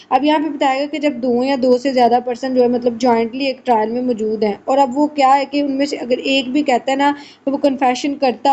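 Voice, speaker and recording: A woman's voice: high voice, darkish tone. A woman lecturing on law, reading out formal text and explaining it conversationally. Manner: switches between slow English reading and conversational Urdu explanation. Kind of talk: explanatory lesson, reading out statutes and glossing them. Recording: muffled lo-fi audio with faint noise.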